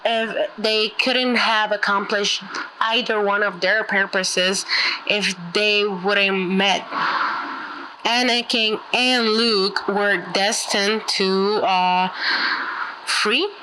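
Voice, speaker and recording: A woman whose first language is Spanish chats casually, lively and quick to giggle. Her voice is bright, sitting in the middle of the pitch range. A faint noise runs underneath. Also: low-quality recording. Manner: animated, giggly, stumbles over words. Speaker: podcast co-host. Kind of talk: casual chat.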